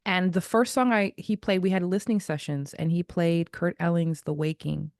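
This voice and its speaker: A woman in her thirties from Zimbabwe speaks with a slightly low voice, recorded close and dry with no room around her. She tells her story as a guest jazz singer in a reflective, unhurried way. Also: fairly even intonation, a little mellow, no room sound. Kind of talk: casual interview.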